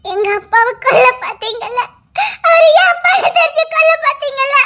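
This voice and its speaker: A tearful, defiant daughter. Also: female voice, very high-pitched, expressive, fairly quick, heavily acted. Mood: tearful accusing. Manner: tearful, accusing, shouting through sobs. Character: young woman, fierce defiant daughter defending her father.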